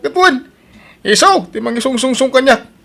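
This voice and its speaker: A man's voice: very high voice, lively intonation, normal speed, gravelly, bright, forceful tone, full character voice. A man playing a defensive, quarrelsome husband in an audio drama, whining and indignant, with an Ilocano accent. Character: defensive, quarrelsome husband bickering with his wife.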